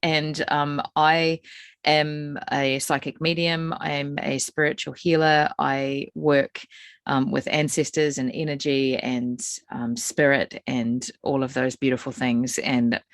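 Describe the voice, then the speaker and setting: A woman's voice: extremely low pitch, little pitch movement, slow, no room sound. A woman with a New Zealand accent introduces herself on a podcast. Her manner is modest and hesitant, with many ums, and her voice sounds dry and close. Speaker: psychic medium, podcast guest. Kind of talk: casual podcast conversation.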